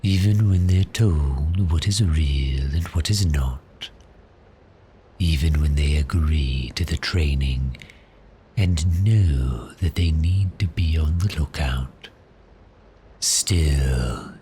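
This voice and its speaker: Man acting as courteous, menacing vampire for ASMR roleplay: extremely low pitch, very slow delivery. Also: slight background noise. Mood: calm, quietly gloating. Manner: courteous, unhurried, deliberate, softly menacing. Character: polite, cheerful, menacing male vampire toying with prey.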